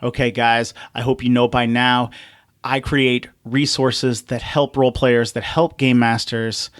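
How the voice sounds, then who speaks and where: A man's voice: medium pitch, a bit bright, dry recording, clean studio sound. A man in his forties hosting an interview podcast. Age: 40s. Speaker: podcast host.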